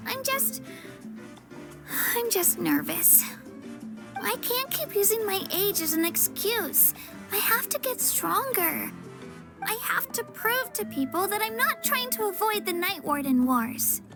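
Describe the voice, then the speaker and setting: Very high-pitched earnest little girl. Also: lively intonation, takes it slowly, full character voice. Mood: anxious. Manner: earnest, childlike. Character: small earnest young girl, anxious yet determined. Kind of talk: video game voice acting. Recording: music behind the voice.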